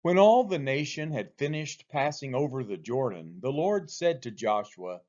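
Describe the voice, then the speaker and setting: A man reading the Bible aloud from a script as a church read-along, in measured, deliberate phrases, on a dull recording. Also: medium pitch, lively intonation, a little mellow.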